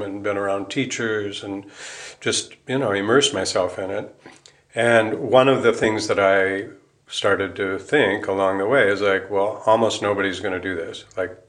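A man's voice: on the low side, a bit bright. An American man answering interview questions in a reflective, informal way, explaining ideas as he thinks aloud and rephrases. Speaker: interview guest, musician and Buddhist priest.

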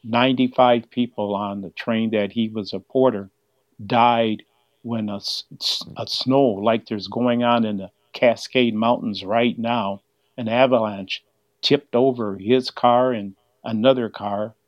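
A man's voice: mid-range voice, steady tone, a little slow, no room sound. An older man explaining history as an interview guest, heard over a dull, band-limited line. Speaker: museum exhibit curator, interview guest.

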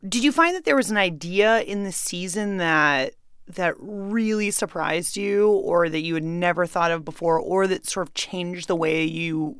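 Smooth, low-voiced woman interviewer. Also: no room sound, studio quality. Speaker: interviewer, host. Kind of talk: casual interview chat.

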